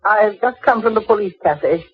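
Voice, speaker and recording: A woman's voice: medium pitch, animated, a little fast, slightly rough. An actress playing a grave, worried mother in old-time radio drama style. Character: grave, worried mother breaking news to her daughter. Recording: muffled old radio recording.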